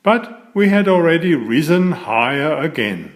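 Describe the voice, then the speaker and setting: Slow, animated man reading a storybook. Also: fairly high pitch. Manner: deliberate, expressive storytelling. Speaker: author reading aloud. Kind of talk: children's picture book narration.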